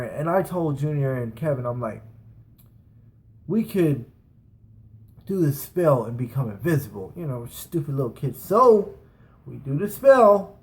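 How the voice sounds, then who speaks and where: A man's voice: mid-range voice, darkish tone. A man telling a casual, rambling personal story as a vlogger, slangy and emphatic, with plenty of swearing.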